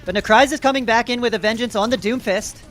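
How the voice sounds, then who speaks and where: High-voiced man casting esports. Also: fast, faint music behind. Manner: energetic, rises to excited shouts in big plays. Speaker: play-by-play caster. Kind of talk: esports commentary.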